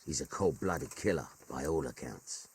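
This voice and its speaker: A man playing a rough, plain-spoken veteran mercenary in a video game, with a husky voice and an earthy, colloquial way of talking. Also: rumbling low, lively intonation, moderate pace, a little mellow, heavily acted. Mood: wary. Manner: colloquial, rustic, earthy storytelling. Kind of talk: dramatic video game cutscene dialogue.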